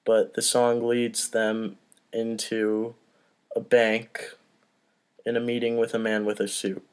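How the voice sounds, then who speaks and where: A man's voice: lowish voice, monotone, slow pace, clear, resonant tone. A man hosting a casual table read, reading out stage directions and talking between them. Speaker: host and stage-direction reader.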